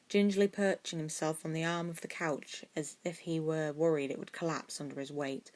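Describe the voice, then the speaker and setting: A woman reading scripted fiction as a story narrator. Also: low voice.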